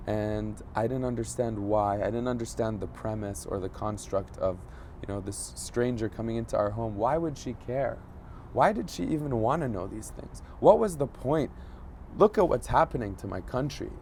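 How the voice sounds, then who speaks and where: A man in his thirties who grew up in Egypt, a journalist telling a personal story in an interview, pausing often to search for words. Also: medium pitch, a little mellow, a little hiss or hum. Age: 30s. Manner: thoughtful, searching for words, frequent fillers. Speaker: journalist guest.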